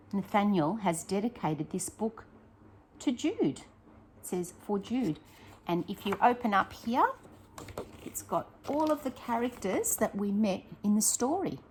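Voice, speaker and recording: A woman, a primary teacher reading a picture book aloud to young children, expressive and encouraging, with chatty questions to her listeners. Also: mid-range voice, a little hiss or hum.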